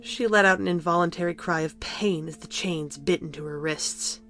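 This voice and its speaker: Low-pitched woman narrating a story. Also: moderate pace, fairly bright tone, soft background music.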